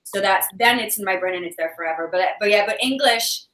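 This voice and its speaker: Chatty Belgian-born woman, echoey and bright. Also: mid-range voice, fast talker, distant mic. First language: French. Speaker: guest actress and creator. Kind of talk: casual chat. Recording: echoey room, away from mic.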